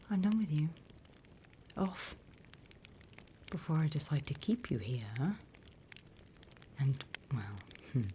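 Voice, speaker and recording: A British woman whispering in an ASMR roleplay as a stern, dry demon instructor, breathy and close to the microphone. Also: low-pitched, darkish tone. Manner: slow, deliberate, dry instructing. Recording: close whisper with background hiss.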